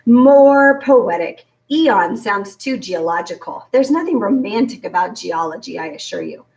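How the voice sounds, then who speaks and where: A woman voicing a pompous, pedantic storybook boy with a high, thin, annoying voice and drawn-out, precise delivery. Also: lively intonation, a little mellow. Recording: roomy echo, distant from mic.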